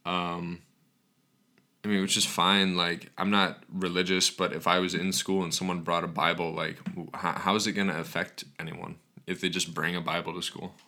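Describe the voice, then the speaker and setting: Groggy young man, deep bright voice. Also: level tone, moderate pace. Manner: rambling with frequent ums and restarts. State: groggy just after waking. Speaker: podcast host. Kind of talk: casual solo podcast talk.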